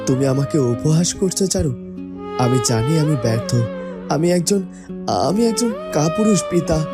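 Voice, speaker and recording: A woman's voice: low voice, expressive, a little slow, over music. A woman playing a despairing, bitter crown prince and grieving father in a cartoon. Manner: defeated, bitter, sorrowful.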